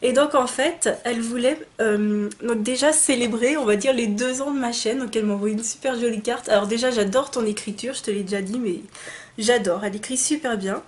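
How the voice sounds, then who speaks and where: A young woman speaks in a slightly high voice, her nose blocked up from a cold. She talks casually and eagerly, full of fillers and restarts, as she shows off beauty products. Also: brisk. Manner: chatty and enthusiastic, many fillers and restarts. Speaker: beauty vlogger. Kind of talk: casual unboxing chat to camera.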